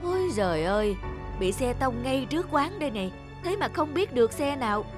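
A woman's voice: sits high, very animated, brisk. Woman doing a gossipy neighbor lady, nosy and chatty, music behind. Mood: gossiping.

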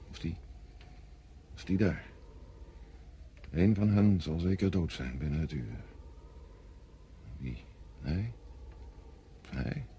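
An actor playing a grim, brooding officer who muses fatalistically in a wartime radio drama. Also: male voice, very deep voice, warm tone, slight background noise, full character voice. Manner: musing, fatalistic monologue.

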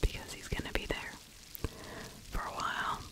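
A middle-aged woman whispering ASMR true crime commentary close to the microphone, hushed and halting with pauses. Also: rough recording. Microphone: close microphone with fluffy windscreen.

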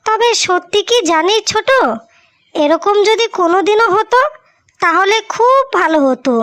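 A woman's voice: extremely high pitch, moderate pace, a bit bright, dry recording. A woman voicing a caring, worried elder sister in a cartoon fairy tale, tender and coaxing. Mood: fond. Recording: music bed behind the voice.

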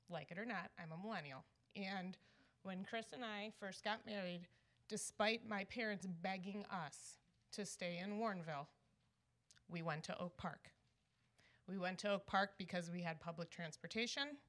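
A woman's voice: fairly low pitch, bright, forceful tone. A woman in her thirties giving public comment at a meeting, her voice nervous and a little shaky. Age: 30s. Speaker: resident and teacher speaking at public comment.

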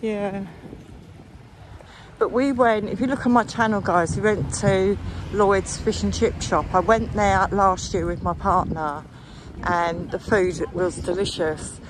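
A woman's voice: mid-range voice, fairly even intonation, unhurried. Chatty British woman vlogging outdoors, noisy background. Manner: chatty, addressing viewers. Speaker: vlog host. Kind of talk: casual walking-tour chat. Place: outdoors, walking along a marina promenade. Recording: clear outdoor ambient noise. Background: outdoor seafront ambience.

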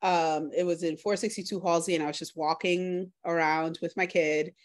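A woman's voice: lowish voice, steady tone, normal speed. A woman in her thirties from Trinidad, speaking informally about her own experience on a video call from home. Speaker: food justice organizer. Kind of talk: personal talk in an online workshop. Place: living room at home, indoors. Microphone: laptop video call.

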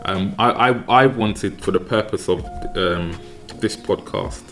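A man in his forties from London hosts a relaxed podcast interview from his kitchen. He talks haltingly, with a low voice, many ums and repeated words. Faint music sits under the desk-mic recording. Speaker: podcast host. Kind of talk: casual interview. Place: home kitchen. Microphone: desk mic on a boom arm.

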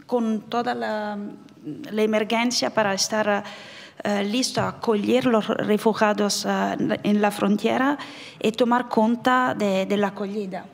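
A middle-aged woman with an Italian accent, speaking non-native Spanish as a humanitarian aid worker on a panel, halting and searching for words. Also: medium pitch, fairly even intonation, gravelly. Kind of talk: panel discussion. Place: conference hall, indoors. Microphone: desk microphone. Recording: reverberant conference hall.

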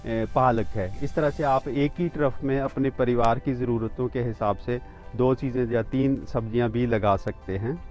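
A man's voice: medium pitch, steady tone, moderate pace, darkish tone, soft background music. A man in his fifties giving a walking tour of a rooftop vegetable garden outdoors, pointing out each plant plainly and steadily. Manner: pointing things out plainly and steadily, with small restarts. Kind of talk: walking demonstration, explaining to camera.

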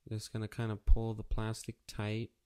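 A man's voice: fairly low pitch, monotone, moderate pace, warm tone, clean tone. A man narrating a casual step-by-step how-to tutorial in a plain, practical, matter-of-fact way. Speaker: auto painter giving a how-to tutorial.

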